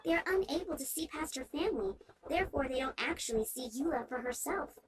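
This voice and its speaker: A woman voicing a gentle, earnest young townswoman with polite, measured speech in a video game. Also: high voice, expressive, crowd noise, poor audio. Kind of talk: scripted video game character acting.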